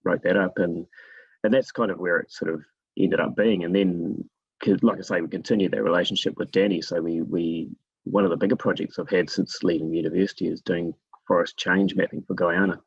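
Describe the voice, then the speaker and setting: A man from New Zealand with a gravelly, husky voice, telling his story conversationally as an interview guest. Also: low-pitched, fairly even intonation, fairly quick, dry recording, muffled recording. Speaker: guest expert in forest monitoring.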